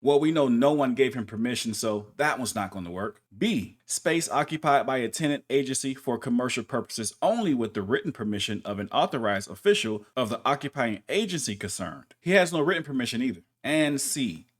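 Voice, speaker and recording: Guy narrating commentary, reads rules out slow on the key words, super animated intonation. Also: medium pitch. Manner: emphatic, deliberate stress on keywords. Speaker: commentary channel host. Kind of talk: scripted commentary, reading rules aloud.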